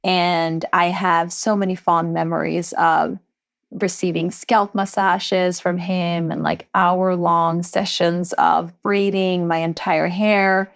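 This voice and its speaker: Guest, woman, thirties, from Sweden; low-ish voice, thoughtful storyteller. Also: flat delivery, slow, creaky. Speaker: entrepreneur guest. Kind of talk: podcast interview.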